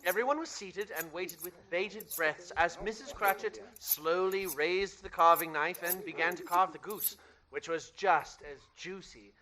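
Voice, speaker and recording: A man narrating a scripted radio play in an expressive, theatrical storytelling style. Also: high-pitched, measured pace.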